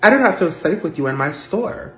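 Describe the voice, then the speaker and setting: A performer voicing a sassy, confident woman store manager who speaks in a slow, cool, cutting way. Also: mid-range voice, sing-song, pitched above the performer's own voice, natural voice. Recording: roomy echo with faint music.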